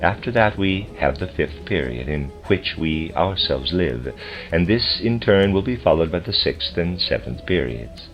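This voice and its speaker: A man with a low voice reads a lecture aloud in a measured, formal way. His phrasing is deliberate, as in an audiobook. The recording is dull and lo-fi, with music clearly playing behind him. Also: expressive. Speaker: lecture reader. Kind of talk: audiobook-style reading of a translated lecture.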